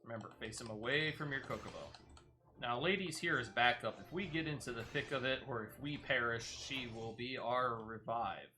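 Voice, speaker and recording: A man with a bright, forward voice at a middling pitch commentates casually on a video game. He talks through each move as he makes it and explains tips for viewers step by step, like a streamer guiding an audience. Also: moderate pace, a little hiss or hum. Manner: explains step by step, talking through own actions.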